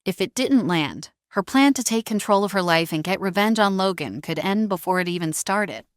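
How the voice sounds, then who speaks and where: A woman reading scripted dramatic fiction as narrator, in a measured storytelling manner. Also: medium pitch, animated, no room sound, clean studio sound.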